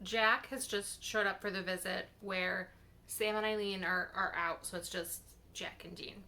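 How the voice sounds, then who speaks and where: A woman talking casually in a reaction vlog, with a halting, expressive fangirl delivery and dramatic pauses. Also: medium pitch. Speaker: vlogger sharing reactions to fan fiction.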